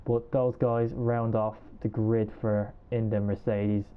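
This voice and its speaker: A man's voice: fairly low pitch, level tone, slow, clean tone. A man with an Irish accent hosting a casual podcast, chatty and hedging as he runs through a list. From Ireland. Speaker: podcast host. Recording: tinny phone-like call audio.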